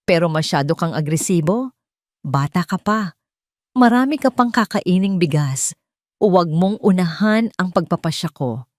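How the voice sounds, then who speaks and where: A woman voicing a stern, dignified old male tycoon with a patronizing, measured manner in an audio drama. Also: on the low side, lively intonation, no room sound, studio quality, natural voice. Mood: stern, dismissive.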